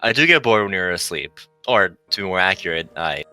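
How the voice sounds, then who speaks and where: A man's voice: low-pitched, animated, fairly quick, bright, forceful tone, faint music behind, full character voice. A man voicing an earnest, polite female AI hologram who serves humans in a visual novel.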